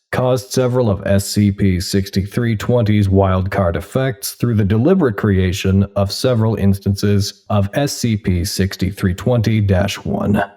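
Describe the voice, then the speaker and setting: A man's voice: on the low side, dark tone, close to an everyday voice. An actor in an audio drama playing a wry male researcher.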